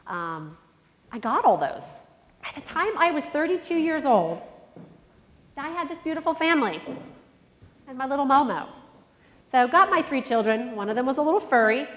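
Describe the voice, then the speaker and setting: A middle-aged woman with a nasal voice giving a motivational keynote speech, telling a personal story and talking straight to the audience. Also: on the high side. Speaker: conference keynote speaker. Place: large conference hall. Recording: long hall reverb. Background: long reverb of a large hall.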